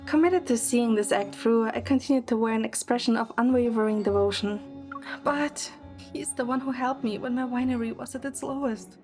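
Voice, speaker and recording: A woman's voice: on the high side, normal speed, a little mellow, soft background music. A woman performing scripted first-person narration and lead dialogue in an audio drama.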